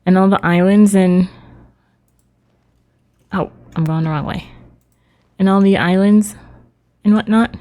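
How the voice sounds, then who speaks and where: A woman's voice: medium pitch, fairly even intonation, a little slow, long pauses, dark tone. A woman livestreamer chatting casually with viewers as she plays a video game. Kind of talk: casual livestream chat with viewers while playing.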